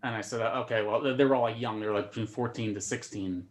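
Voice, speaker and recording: A man's voice: fairly low pitch, fairly even intonation, fast. A man, a stand-up comedian, chatting casually on a video call and often repeating words as he starts his sentences. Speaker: comedian guest.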